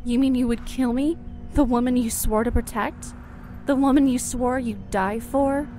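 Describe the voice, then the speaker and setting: A woman's voice: fairly high pitch, fairly even intonation, normal speed, dark tone, a little hiss or hum, close to an everyday voice. An actress playing a cruel, seductive vampire ex-wife in an audio drama, mocking and theatrical, with music behind her. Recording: music bed under the voice.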